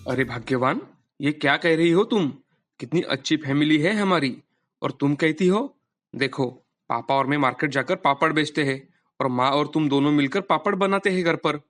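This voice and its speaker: A man's voice: fairly high pitch, moderate pace, fairly bright tone, close to an everyday voice. A man playing a meek, good-natured young husband in a moral story, speaking in a mild, placating manner. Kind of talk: animated moral story dialogue.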